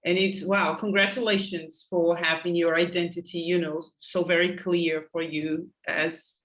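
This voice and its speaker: A woman's voice: lowish voice, little pitch movement, moderate pace, low-quality recording. A middle-aged woman from Brazil with a Brazilian Portuguese accent, asking interview questions in English and often searching for words. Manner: warm, searching for words, restarts.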